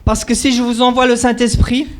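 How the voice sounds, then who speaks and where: A male missionary preaching in short phrases, pausing for his interpreter. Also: very high-pitched, level tone. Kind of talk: sermon with interpreter.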